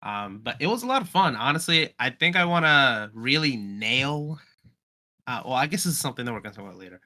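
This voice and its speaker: A man's voice: mid-range voice, big pitch swings, brisk, sharp tone. A young man chatting casually as a podcast guest, with a slangy, enthusiastic way of talking. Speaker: podcast guest, amateur voice actor. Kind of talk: casual chat.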